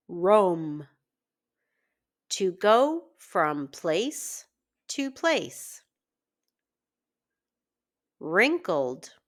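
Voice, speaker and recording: A woman teacher reading a children's story aloud with deliberate, word-by-word phrasing for early readers. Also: fairly low pitch, fairly bright tone. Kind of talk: children's story read-aloud, scripted narration.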